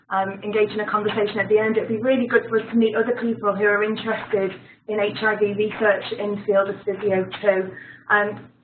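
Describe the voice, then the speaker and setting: An upbeat British woman introduces herself in a chatty webinar talk, dotted with ums. Her voice rings in a roomy space and comes through a thin, phone-like line with faint hiss. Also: medium pitch. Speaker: clinical research physiotherapist. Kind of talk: webinar presentation. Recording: echoey room over a phone-like line.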